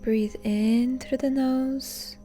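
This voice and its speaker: A woman leading a guided meditation in a soft, breathy, often near-whispered voice, calm and gently instructing. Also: high voice, very slow, faint music behind. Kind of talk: soothing guided meditation narration.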